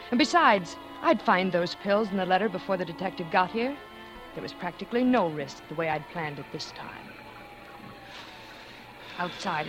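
An actress playing a scheming, cold-blooded former stage actress, confessing in dramatic 1940s radio suspense style. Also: female voice, on the low side, lightly acted, low-quality recording. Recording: 1940s radio recording with music.

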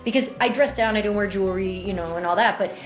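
A woman in her thirties hosting a casual chat, telling stories in a lively, chatty way. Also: mid-range voice, fast, echoey room, faint music behind. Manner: lively, chatty storytelling with laughs.

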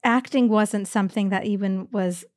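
A woman with a medium-pitched voice talks casually as a podcast co-host in a very dry, close recording. Her delivery is playful, reactive and given to exclamations. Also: fairly even intonation, moderate pace, darkish tone, dry recording. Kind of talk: casual conversational chat.

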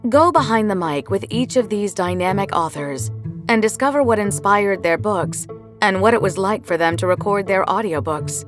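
Woman podcast host, scripted upbeat intro, music bed underneath. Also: mid-range voice.

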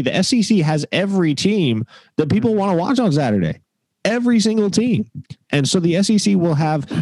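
A man's voice: medium pitch, a little mellow, dry recording. A man co-hosting a sports podcast, talking in an emphatic, opinionated way. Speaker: podcast co-host. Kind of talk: casual sports chat.

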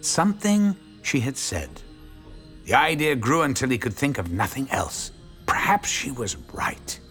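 A male narrator tells a dark story with brooding drama. His mid-pitched voice moves widely up and down and drops to a hush as phrases end. Faint music plays behind him. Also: very animated, measured pace.

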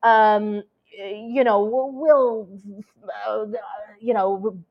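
A woman's voice: fairly high pitch, drawn-out words, dull audio. A woman actress chatting as an interview guest, talking freely with many fillers and restarts. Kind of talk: casual interview chat.

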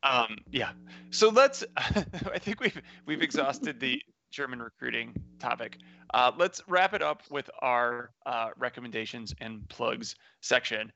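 Male podcast co-host, easygoing and joking; gravelly, dry, lo-fi recording. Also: medium pitch, big pitch swings, unhurried, pushed and bright, no echo. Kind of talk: casual chat. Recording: dry, muffled lo-fi audio.

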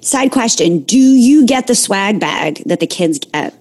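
A woman's voice: medium pitch, expressive, unhurried, a little mellow. A woman co-hosting a podcast with a Philadelphia accent, chatty and joking with her guests. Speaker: podcast co-host. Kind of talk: casual podcast chat.

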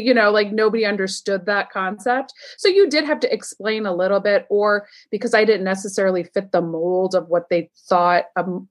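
A woman in her forties from the United States, speaking in an agreeable, conversational way as an interview guest. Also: lowish voice. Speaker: podcast host, guest.

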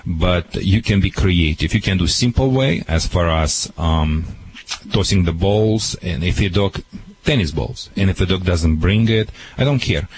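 A man's voice: low voice, a little slow, slight background noise. A man giving dog training advice to a radio caller, in broken, emphatic English.

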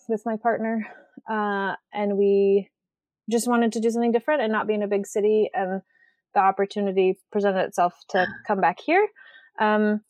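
Relaxed woman with dry, close sound. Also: medium pitch, no echo. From Western Washington, USA. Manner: relaxed, frequent ums, rambling asides. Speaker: guest, writer and artist. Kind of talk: casual conversational interview.

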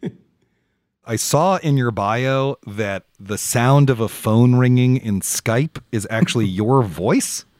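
A man's voice: medium pitch, slow pace, a little mellow, dry recording, clean studio sound. A man hosting a casual conversational interview, asking chatty questions with playful asides. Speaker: podcast host interviewer.

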